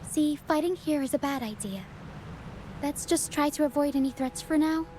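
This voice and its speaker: A woman's voice: sits high, normal speed, background noise, natural voice. A woman playing a protective, composed young woman guiding others in a video game cutscene. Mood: calm, cautioning. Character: protective young woman guide through dangerous mist. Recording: music behind the voice.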